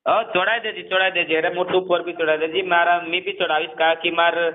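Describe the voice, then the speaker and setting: A man's voice: high voice, level tone, brisk. A man in a casual phone conversation, explaining things at length and repeating himself, on a narrowband call recording.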